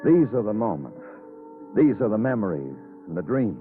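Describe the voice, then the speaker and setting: A weary noir detective from 1950s radio crime drama. His low voice moves through wide swings of emphasis, somber and poetic, with music behind a thin, narrow old broadcast sound. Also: sing-song, normal speed. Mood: brooding. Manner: terse, somber, dramatic phrasing. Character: weary, brooding male police detective narrating his case.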